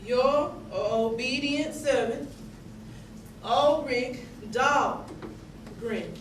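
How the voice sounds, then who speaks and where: A woman's voice: sits high, drawn-out words. A woman playing a frightened, spirited enslaved young spy of the Civil War, telling her story theatrically in breathless, stammering exclamations. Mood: indignant, rueful. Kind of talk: theatrical stage monologue, narrating and reliving events. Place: theatre stage, miked from a distance. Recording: roomy distant stage capture, faint noise.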